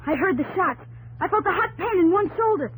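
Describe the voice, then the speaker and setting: An earnest young pioneer boy telling his story in an old radio play. The voice is high and eager. Loud hiss runs through the old broadcast. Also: lively intonation, brisk. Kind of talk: 1950s radio drama narration.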